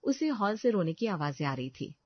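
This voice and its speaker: A woman's voice: medium pitch, very animated, moderate pace, no room sound, muffled recording. A woman narrating a scripted story.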